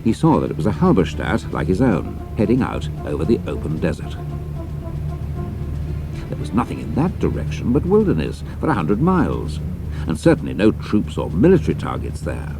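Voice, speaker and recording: Deep, gravelly British male narrator. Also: huge pitch swings, moderate pace, over music. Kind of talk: scripted adventure audiobook narration.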